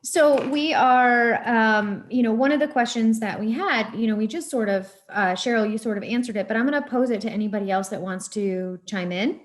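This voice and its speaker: A woman's voice: on the high side, normal speed, few pauses. A woman moderating a panel on a video call, encouraging and loosely phrased.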